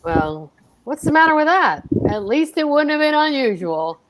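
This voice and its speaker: A woman's voice: high voice, big pitch swings, normal speed, poor audio. A woman playing a reluctant, worrying henpecked husband in old-time radio comedy style, hesitant and grumbling.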